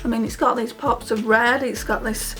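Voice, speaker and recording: A British woman with a fairly high voice chats casually to her viewers in a beauty vlog. Her delivery rambles in an informal, direct way. Faint music plays behind her. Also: steady tone, normal speed, fairly bright tone. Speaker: beauty vlogger. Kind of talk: casual chatty makeup vlog.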